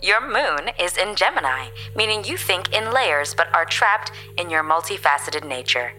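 A woman playing a chipper female AI space guide in a sci-fi audio drama, crisp, official and cheerfully blunt. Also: fairly low pitch, big pitch swings, moderate pace, pushed and bright, soft background music, low-quality recording.

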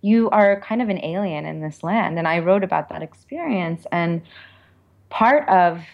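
A woman's voice: lowish voice, animated, moderate pace, vocal fry, muffled recording. A woman author being interviewed, speaking candidly and informally in a reflective way, with lots of "like" and "you know".

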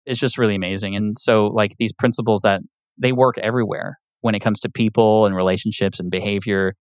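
Earnest man with a dry, low voice. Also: fairly even intonation, a little fast, no room sound. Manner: earnest, explains patiently with many fillers. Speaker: podcast guest. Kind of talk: casual interview talk.

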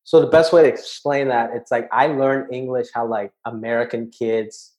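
A man from Hong Kong, a guest comedian, telling stories in a relaxed, conversational way and dropping his voice for asides. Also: medium pitch, normal speed. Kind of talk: casual podcast chat, storytelling.

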